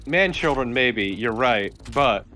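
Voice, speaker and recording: A man's voice: medium pitch, animated, slow pace, pushed and bright, faint music behind. A man chatting casually as co-commentator on a video game playthrough. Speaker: let's play co-commentator. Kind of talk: casual chat.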